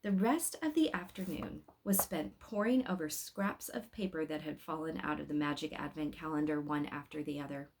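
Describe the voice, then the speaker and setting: A woman's voice: low voice, normal speed, few pauses, a little mellow, a little hiss or hum. A woman hosting a read-aloud, telling a children's story expressively and speaking straight to young listeners. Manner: addresses young listeners directly with questions.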